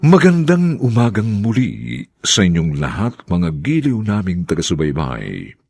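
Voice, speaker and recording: A man hosting a radio drama, giving a formal scripted introduction in a deliberate, dramatic manner. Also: deep voice, huge pitch swings, vocal fry, darkish tone, breathy edge. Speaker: radio drama host and narrator.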